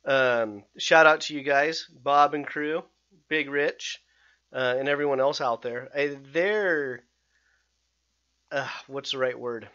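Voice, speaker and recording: A man hosting a podcast, chatting casually in an excitable, rambling way with many restarts. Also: mid-range voice, slow pace. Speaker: podcast host. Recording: slightly dull lo-fi sound.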